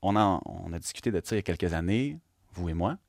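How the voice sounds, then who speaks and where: A male show host with a Quebec French accent, speaking quickly with a very animated pitch. He keeps a casual comic interview moving with teasing interjections. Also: vocal fry, no room sound, studio quality.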